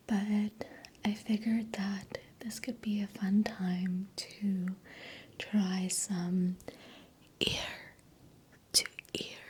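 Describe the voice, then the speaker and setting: A South African woman whose first language is Afrikaans, speaking an ASMR voiceover in a soft, gentle, unvoiced whisper into a close mic that moves from ear to ear. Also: medium pitch. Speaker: ASMR creator. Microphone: close sensitive mic, sounds moving ear to ear.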